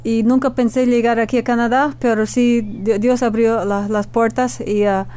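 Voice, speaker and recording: A woman speaking as an interview guest, halting and stammering a little over words. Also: fairly high pitch, flat delivery, warm tone. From Bolivia. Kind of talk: conversational interview.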